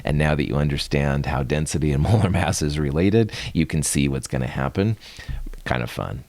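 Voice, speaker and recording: A man teaching a recorded chemistry lecture in a relaxed, conversational way, chuckling often as he explains. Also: rumbling low, moderate pace, dry recording. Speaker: chemistry instructor.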